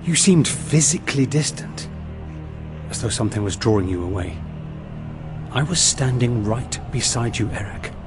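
An actor playing a steady, concerned male warrior in an audio drama, with solemn, measured, formal speech. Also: mid-range voice, music in the background, close to an everyday voice. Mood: grave concern.

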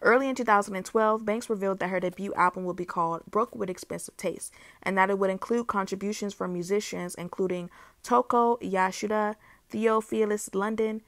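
A young woman hosting her own channel, reading a biography aloud in a steady way with small restarts. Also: medium pitch, fairly quick, no room sound. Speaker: YouTube host. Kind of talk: read-aloud biography narration.

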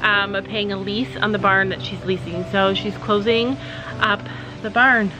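Middle-aged woman, halting emotional vlog talk. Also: mid-range voice, steady tone, poor audio. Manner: halting and emotional, many ums and sighs. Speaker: family vlogger, mother. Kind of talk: casual vlog talk to camera. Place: outdoor porch. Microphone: phone held at arm's length. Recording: background music under the voice. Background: music.

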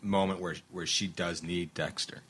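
A man's voice: sits low, level tone, moderate pace, a bit bright, husky. A middle-aged man hosting an interview podcast, talking casually, laughing often and restarting his sentences. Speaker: podcast host and producer.

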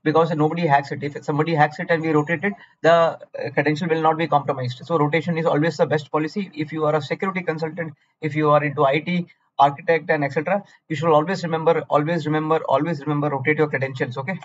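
Fast-talking Indian man lecturing. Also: fairly high pitch, little pitch movement, highs cut off, a little hiss or hum. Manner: blunt, repetitive, scolding lecturing. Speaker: cloud certification tutor. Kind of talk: screen-recorded exam walkthrough.

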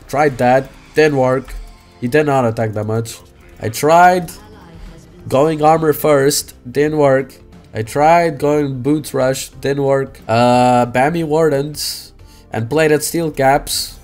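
A young man casually commentating his own gameplay on a headset mic, swinging into loud, swearing outbursts. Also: mid-range voice. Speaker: game streamer. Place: small room indoors.

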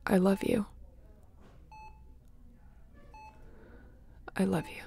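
A woman playing a battle-worn, tender and wry spaceship captain in an intimate audio roleplay, speaking softly with sighs and a breathy edge. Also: mid-range voice, flat delivery, quick pace. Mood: hushed, loving. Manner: soft, sighing, emotionally open.

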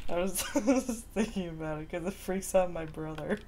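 A woman streaming a game from home, chatting with viewers in a relaxed, giggly way. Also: on the low side, moderate pace, slight background noise. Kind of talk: casual chat while gaming. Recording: faint keyboard typing behind.

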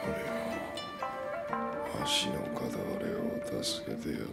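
A man's voice: measured pace, loud music behind the voice, natural voice. A man playing a bold, idealistic samurai in a video game, speaking rustic, easygoing Tosa dialect. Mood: weak, dying. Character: bold, idealistic rough-hewn samurai dreamer. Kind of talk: video game cutscene acting.

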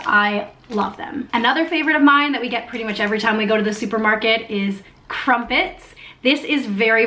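A woman's voice: a little high, fairly bright tone. Chatty upbeat young woman vlogger. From North America. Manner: chatty and upbeat, with frequent "like" fillers. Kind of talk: casual vlog chat.

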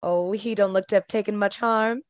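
A woman's voice: mid-range voice, steady tone, normal speed, highs cut off, close to an everyday voice. Gracious, fussing older mother, played by a woman. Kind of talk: radio play acting.

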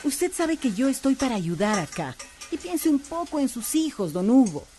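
Radio soap: patient female corporal, actress, earnest and polite, mellow voice, slightly high, faint music behind. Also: big pitch swings, normal speed. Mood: earnest, persuasive. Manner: courteous, earnest.

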